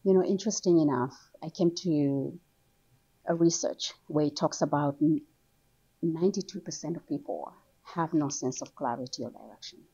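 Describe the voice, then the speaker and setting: A middle-aged woman from Somaliland, raised in Kenya and England, telling her story as an author guest in an animated, conversational way. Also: normal speed, breathy edge. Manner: animated storytelling, rises high when excited. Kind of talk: conversational interview. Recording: dull lo-fi sound.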